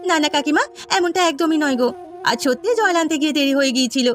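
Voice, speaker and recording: A woman's voice: sits high, quick pace, lightly acted. A woman voicing a poor, earnest young village housemaid in a cartoon story, with a plaintive, imploring manner. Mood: pleading. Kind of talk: Bengali cartoon story voice acting.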